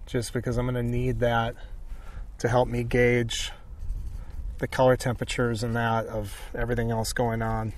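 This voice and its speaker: A man's voice: mid-range voice, monotone, normal speed, distant mic. A man giving a casual painting tutorial as an instructor, thinking aloud informally in short phrases.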